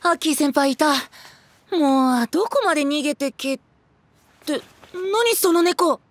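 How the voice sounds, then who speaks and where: A man's voice: very high-pitched, expressive, a little slow, fairly bright tone, a little hiss or hum, heavily acted. A male actor playing a cute, vain, bratty teenage boy idol in a Japanese audio drama, pouty and whiny. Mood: surprised, curious. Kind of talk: Japanese anime-style audio drama acting.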